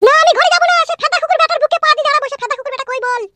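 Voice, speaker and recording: A fierce village mother from a cartoon comedy, extremely high and piercing. She scolds and curses at breakneck speed in defence of her daughter, with faint music behind. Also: rapid-fire, pushed and bright. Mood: furious scolding. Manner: shrill, scolding, cursing. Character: furious foul-mouthed village mother, fiercely protective of daughter.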